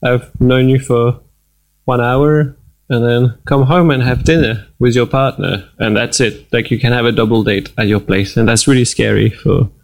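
A man's voice: medium pitch, steady tone, normal speed, gravelly. A man from France, speaking bluntly and dryly in a casual chat. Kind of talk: casual conversation.

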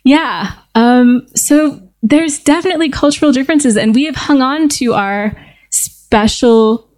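A woman's voice: high voice, measured pace, darkish tone. Thoughtful woman hosting a chat. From United States. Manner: thoughtful, hesitant with fillers. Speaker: podcast host. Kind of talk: casual conversation. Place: seated at a table with others.

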